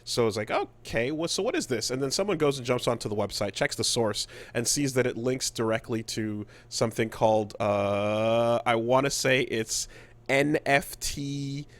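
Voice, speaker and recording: A man's voice: mid-range voice, moderate pace. A man co-hosting a podcast, telling gaming news in casual, profane, comedic chat, acting out quotes as he goes. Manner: animated storytelling with acted-out quotes. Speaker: podcast co-host.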